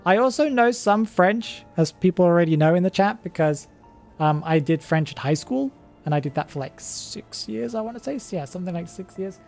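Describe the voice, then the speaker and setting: Brief: British live streamer, relaxed and chatty, mid-pitched voice, soft music underneath. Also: normal speed, gravelly. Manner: chatty, chuckles often, thinks aloud. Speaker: game streamer. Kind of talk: live-stream chat reading viewer comments.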